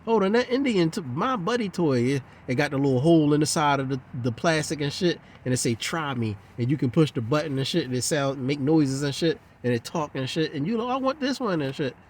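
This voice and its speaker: A man's voice: on the high side, expressive, a little fast, few pauses, slight background noise. A man giving a heated, profane, rambling monologue in a casual rant.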